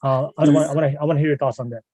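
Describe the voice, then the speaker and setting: A man's voice: on the high side, rapid-fire, no echo. Male podcast host, casual and chatty. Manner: relaxed, repeats himself while asking questions. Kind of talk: casual live podcast chat.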